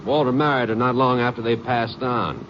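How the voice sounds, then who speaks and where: An actor playing an easygoing country sheriff with a drawling, folksy manner, in a 1950s radio drama. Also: male voice, medium pitch, normal speed, a bit bright, natural voice. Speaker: small-town sheriff. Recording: dull old radio recording with hiss.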